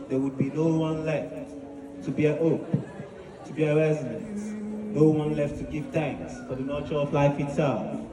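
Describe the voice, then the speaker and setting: Echoey, higher-voiced man delivering solemn spoken word. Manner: solemn, deliberate, declamatory with pauses. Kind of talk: poetic dramatic monologue, oratory. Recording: echoey, distant voice with music behind. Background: music.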